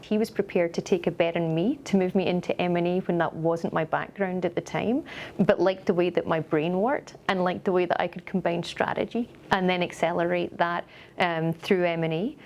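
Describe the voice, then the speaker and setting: A woman's voice: lowish voice, fairly even intonation, moderate pace, darkish tone, a little hiss or hum. A middle-aged woman with a Scottish accent, an upbeat and articulate company executive answering questions in a corporate interview.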